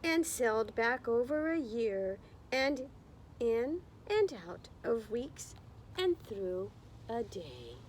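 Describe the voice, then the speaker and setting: A woman's voice: on the high side, very animated, takes it slowly, slight background noise, rough recording. A woman reading a picture book aloud to young children, expressively, with encouraging, chatty explanations along the way. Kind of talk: slow children's read-aloud with chatty asides to listeners.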